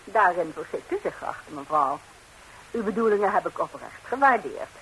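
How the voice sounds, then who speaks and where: An actress playing a composed, sharp-tongued betrayed wife in a 1960s radio comedy, answering with cool, pointed deliberation on a hissy recording. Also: female voice, lowish voice, animated, normal speed, poor audio. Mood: dry, vengeful.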